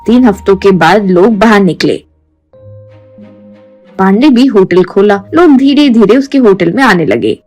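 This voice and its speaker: A woman's voice: medium pitch, lively intonation, unhurried, pauses often, darkish tone, faint music behind. A woman reading scripted narration for a simple moral tale, as a storyteller.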